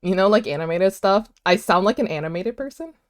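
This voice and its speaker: A woman, Vietnamese, talks loosely to her stream chat. Her delivery is animated and chatty, peppered with "like" and quick laughs. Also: medium pitch, slight background noise. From United States. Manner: animated, chatty, laughs often, many "like" fillers. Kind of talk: casual livestream chat, reading chat aloud.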